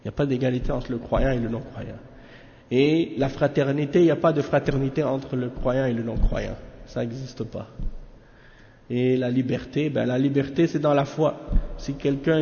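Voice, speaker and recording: A man's voice: mid-range voice, steady tone, normal speed, dark tone, a little hiss or hum. A man giving a religious lesson in a casual, familiar way, often checking in with his listeners. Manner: casual, familiar talk with frequent "hein?" asides. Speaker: religious teacher. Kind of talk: lesson explaining a commentary. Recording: echoey room, dull lo-fi sound.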